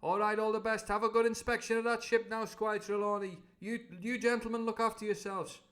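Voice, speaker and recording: A man's voice: sits high, flat delivery, fairly quick, fairly bright tone, pitched above the performer's own voice. A man voicing a cheery, fawning one-legged sea cook who talks in a chummy, ingratiating way.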